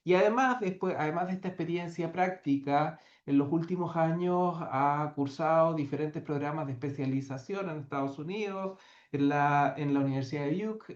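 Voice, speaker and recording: A man's voice: a little high, a little mellow, reverberant, lo-fi sound. A man with a Chilean Spanish accent introducing a guest speaker at an academic seminar, hesitant, with many filler sounds. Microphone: video call.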